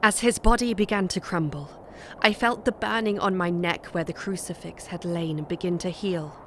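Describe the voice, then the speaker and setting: A woman's voice: fairly low pitch, moderate pace, background noise, natural voice. A woman playing a brave, devoted young wife, narrating a gothic audio drama in a formal, earnest manner. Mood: solemn narration. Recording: music behind the voice.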